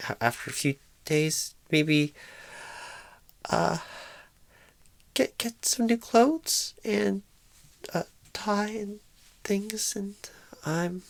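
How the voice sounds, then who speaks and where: A woman playing a shy, inexperienced, nervous job recruiter in an ASMR roleplay, halting and stammering in a soft-spoken, awkward way. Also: medium pitch, vocal fry, dry recording, heavily acted.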